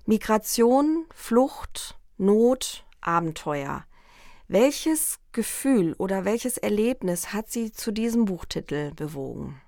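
Measured woman interviewer, formal questions. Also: mid-range voice, sing-song, a little mellow, no echo, clean studio sound. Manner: measured, formal, reads quotes aloud.

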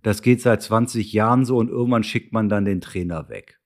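A man's voice: on the low side, brisk, close to an everyday voice. A man imitating a ranting Italian football coach, indignant and emphatic.

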